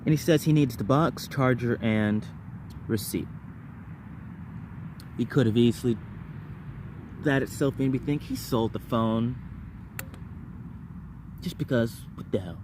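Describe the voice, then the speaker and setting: A man's voice: medium pitch, a little slow, pauses often, background noise. A man telling personal stories casually to viewers, rambling and repeating words and phrases in bursts. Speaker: vlogger.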